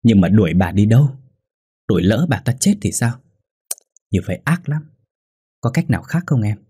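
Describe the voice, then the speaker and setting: Henpecked grown son for an audio drama, man acting: husky, short-tempered, snapping delivery. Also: lowish voice, sing-song, normal speed, dark tone, full character voice. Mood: protesting. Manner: snapping, growling.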